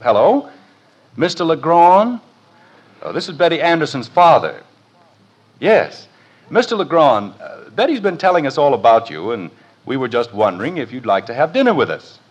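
An exasperated American family father in a vintage radio comedy. His voice is rather high and husky, swinging widely as he briskly scolds and bosses. The old broadcast sounds muffled. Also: sing-song. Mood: polite, businesslike. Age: middle-aged. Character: exasperated, bossy middle-aged suburban father.

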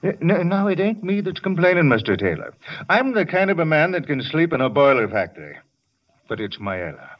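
Folksy, rambling radio cop neighbor. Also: male voice, fairly high pitch, very animated, brisk. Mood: folksy, insistent. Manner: rambling, colloquial, chuckling, hesitant openers. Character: chatty, folksy off-duty police sergeant, nosy neighbor. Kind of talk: 1940s-50s old-time radio acting. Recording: dull old radio broadcast recording.

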